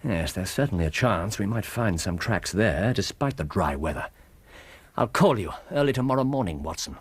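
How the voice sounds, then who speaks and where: A man's voice: deep voice, lively intonation, normal speed, slightly rough, no room sound. An actor playing a brilliant, self-assured English detective in British radio drama style, explaining his reasoning aloud and repeating himself. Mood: absorbed, explanatory. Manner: didactic, thinks aloud, exclaims and repeats himself. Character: brilliant, brisk male detective, keenly absorbed and self-assured.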